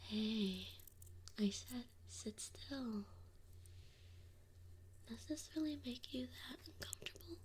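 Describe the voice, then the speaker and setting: A woman playing a doting, gently teasing wolf mother in an ASMR roleplay, speaking softly and often in a whisper. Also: on the high side, animated, slight background noise. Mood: soft, coaxing. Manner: soft, slow, often whispered. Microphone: binaural mic.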